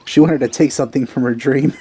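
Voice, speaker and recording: Mellow, musing man commenting on a game. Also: mid-range voice, steady tone, slightly rough, dry recording. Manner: musing, halting, informal. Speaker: gaming video host. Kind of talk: casual let's-play commentary.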